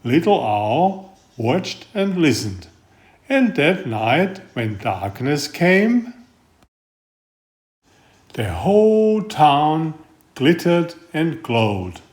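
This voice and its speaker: A man reading a picture book aloud in a gentle, unhurried storytelling manner. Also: a little high, sing-song. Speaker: storybook reader. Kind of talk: slow read-aloud picture book narration.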